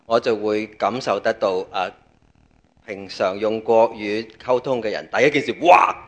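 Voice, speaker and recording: A man with a Cantonese accent delivers a sermon in a fairly low voice. He talks conversationally and breaks his thoughts into short phrases, leaving gaps for an interpreter. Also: steady tone, moderate pace. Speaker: preacher.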